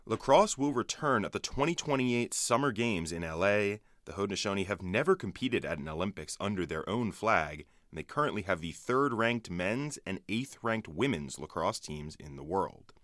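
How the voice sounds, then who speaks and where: A man's voice: on the low side, animated, normal speed, hardly any pauses, fairly bright tone, no room sound, clean studio sound. A man anchoring a radio sports update in a scripted broadcast delivery.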